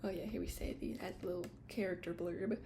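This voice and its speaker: A woman doing a soft-spoken ASMR shop roleplay, explaining products in a casual, hesitant, unscripted way. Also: fairly low pitch, steady tone, fast, creaky, background noise. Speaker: ASMR creator playing a tea shop attendant.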